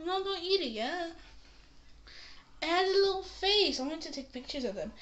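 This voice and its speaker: A woman's voice: very high voice, animated, normal speed, a bit bright, dull audio. A young woman chatting casually and playfully as she shows off a shopping haul on camera in a small room. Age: young adult. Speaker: vlogger showing a shopping haul. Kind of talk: casual unboxing chat. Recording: noisy room with handling clicks.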